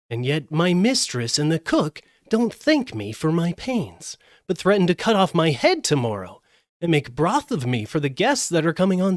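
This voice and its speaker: A man voicing an aggrieved, boastful talking rooster in a storybook reading, loud and exclamatory. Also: a little high, dry recording, studio quality, voice pushed up. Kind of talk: live storybook character voice.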